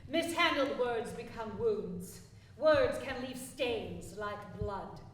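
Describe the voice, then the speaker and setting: Casting: reverent female stage chorus, incantatory, higher register, very echoey distant recording. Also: expressive, slow. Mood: solemn. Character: reverent female chorus member of a devout commune. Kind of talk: live stage play chorus. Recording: distant mic, very echoey theatre.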